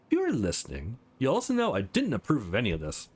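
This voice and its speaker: A man's voice: medium pitch, wildly swooping pitch, quick pace, slightly rough. A man voicing a confident, sardonic businesswoman with a teasing, probing manner in a visual novel. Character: sardonic, confident female business boss on a dinner date.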